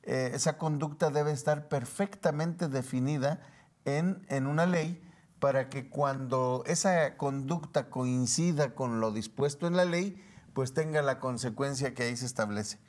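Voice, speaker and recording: Mexican man explaining in measured detail. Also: medium pitch, a little mellow. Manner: didactic, defines terms step by step. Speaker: tax law commentator on a panel show. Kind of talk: explanatory talk show discussion.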